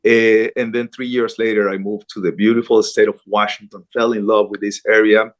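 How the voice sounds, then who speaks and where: A middle-aged man from Colombia speaks in a lowish voice, earnest and a little halting, often restarting his sentences. He sounds like a news anchor chatting as an interview guest. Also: flat delivery. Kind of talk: conversational interview.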